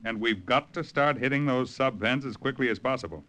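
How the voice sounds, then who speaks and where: A man playing a firm, composed army colonel, measured and commanding, in a 1940s radio drama. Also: medium pitch, a bit bright, natural voice. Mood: firm authority. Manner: authoritative, measured.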